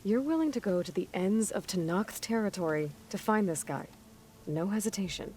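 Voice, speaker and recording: A woman's voice: mid-range voice, animated, moderate pace, warm tone, a little hiss or hum, close to an everyday voice. An actress playing a determined young female adventurer in a video game, speaking in a direct, questioning manner. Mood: probing, firm. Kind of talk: video game character acting.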